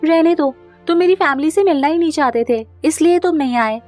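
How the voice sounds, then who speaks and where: A woman's voice: high-pitched, a little fast, darkish tone, soft background music, pitched above the performer's own voice, natural voice. A woman voicing an earnest, anxious young woman in love, emotional and pleading, in a cartoon family drama. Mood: hurt, annoyed.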